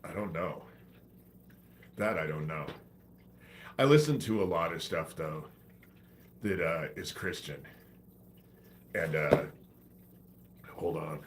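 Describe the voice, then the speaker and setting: Deep-voiced man with a stuffy head cold. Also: slow, pauses often, noisy background. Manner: loose, profane, meandering. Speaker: livestream host. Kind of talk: rambling casual livestream talk.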